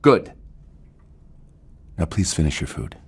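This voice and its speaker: Very deep, menacing controlling husband. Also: male voice, very animated, slow, husky, heavily acted. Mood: furious, commanding. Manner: domineering, swings from quiet menace to shouting. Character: menacing, controlling middle-aged husband and captor. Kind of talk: audio fiction acting.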